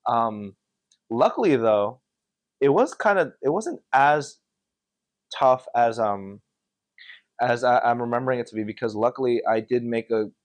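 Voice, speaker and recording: A young man from Connecticut, a podcast guest telling stories in an animated, slangy, casual way. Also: mid-range voice, unhurried, long pauses, no echo. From Connecticut, USA. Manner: animated, slangy storytelling with many filler yeahs. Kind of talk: casual chat.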